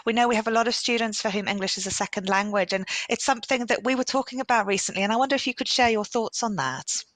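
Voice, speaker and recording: A woman's voice: on the high side, quick pace, a bit bright, dry recording. Woman host on a live student stream, encouraging and chatty, dry close sound. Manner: encouraging, upbeat, reads viewer comments and poll results aloud.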